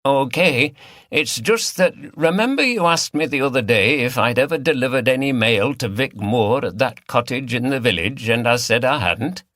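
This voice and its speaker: A man's voice: medium pitch, lively intonation, normal speed, fairly bright tone, no echo, studio quality, pitched above the performer's own voice, natural voice. A man voicing a hesitant, wordy village postman in a mystery audiobook. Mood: unsure, puzzled.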